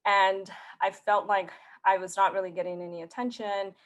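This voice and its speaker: A woman who grew up in Mexico and speaks Spanish as her first language gives a conference talk in her thirties. Her delivery stays level and even in pitch, and she keeps restarting her phrases with small fillers as she goes. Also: moderate pace. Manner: earnest, hesitant, many fillers and restarts. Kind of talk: prepared career talk.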